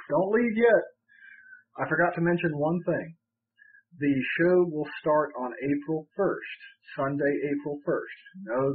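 A man's voice: medium pitch, slightly rough. A man in his forties hosting a show, giving a casual, prepared announcement to his viewers. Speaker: show host. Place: small room indoors. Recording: tinny band-limited, slightly muffled sound.

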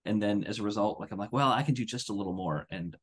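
Man in his thirties, low-pitched, weary, rambling casual chat, headset on a video call. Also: fast, breathy edge. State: tired. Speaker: podcast co-host. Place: home room, video call.

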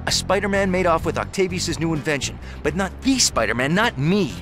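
A man's voice: on the high side, expressive, fairly quick, over music. An actor playing a young, wisecracking but earnest male superhero in a video game. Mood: quippy, urgent. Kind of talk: animated video game acting.

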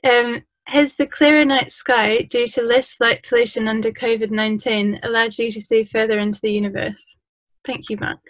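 A woman hosting an interview over a video call, reading audience questions in a polite, measured way. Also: on the high side, flat delivery, no room sound. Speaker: interview host.

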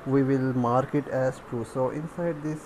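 Male tutorial instructor, halting and monotone, working through steps aloud on a noisy home-made recording. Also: mid-range voice. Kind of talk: step-by-step screen tutorial, thinking aloud.

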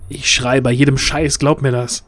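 A man's voice: medium pitch, little pitch movement, fairly bright tone. Agitated man improvising in a roleplay. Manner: agitated, halting, repeats himself. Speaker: tabletop roleplaying player. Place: indoor home, video call. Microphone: headset.

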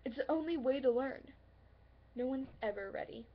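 Actress as insecure, wounded young woman in love, stage monologue; high creaky voice, earnest, halting. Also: full character voice. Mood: hurt, confronting. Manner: earnest, pleading, halting with pauses. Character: hurt, insecure, lovelorn young woman confronting her friend. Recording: dull lo-fi, faint background noise.

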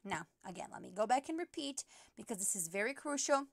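High-voiced woman teacher explaining patiently. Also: very animated, a little fast, gravelly, no room sound. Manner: patient, step-by-step explaining with many fillers. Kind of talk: app tutorial screen recording.

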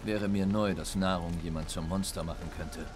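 An actor playing a gruff, sceptical monster hunter, terse and deadpan, in video game dialogue. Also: male voice, low-pitched, moderate pace, background noise, close to an everyday voice.

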